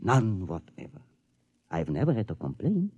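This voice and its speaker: Sly, husky, swooping craftsman. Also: fairly low pitch, wildly swooping pitch, moderate pace, narrow, tinny audio, full character voice. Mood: persuasive, assured. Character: sly, formal, discreet maker of duplicate marionettes. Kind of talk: old-time radio drama acting.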